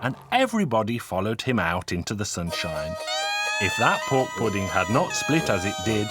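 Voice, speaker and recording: A man narrating a scripted folk tale in a measured, expressive storybook manner. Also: lowish voice. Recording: music under the voice. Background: music.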